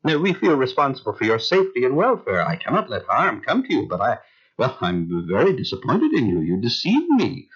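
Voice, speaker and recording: A man's voice: mid-range voice, wildly swooping pitch, fairly quick, breathy edge. An actor playing an imperious, easily flustered Egyptian king in a Bible audio drama, theatrical and given to stammering. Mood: wounded, reproachful. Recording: dull lo-fi radio drama audio.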